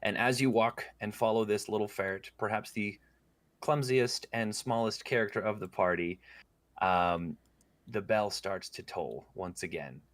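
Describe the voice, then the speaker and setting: A low-voiced man narrates a tabletop role-playing scene as game master, his delivery unhurried and full of small fillers. The sound is close and dry, with no room in it. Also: fairly bright tone, no room sound. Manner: slow descriptive narration with fillers.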